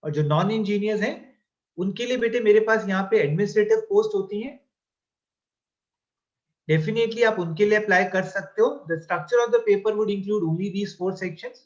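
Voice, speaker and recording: A man's voice: high-pitched, moderate pace, muffled recording. A male exam-coaching teacher lecturing a class, mixing Hindi and English and fondly calling his students "beta". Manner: checks in with the class often.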